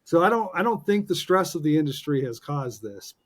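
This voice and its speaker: A man's voice: a little high, sing-song, brisk. A man in his forties co-hosting a podcast, chatting casually and upbeat, ribbing his co-host with playful jokes. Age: 40s. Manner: upbeat and joking, with many fillers and restarts.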